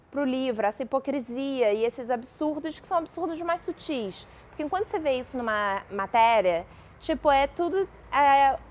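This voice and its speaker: Chatty young Brazilian woman, higher voice. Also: a little mellow. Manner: chatty, many fillers and restarts. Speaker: novelist guest. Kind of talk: casual interview talk.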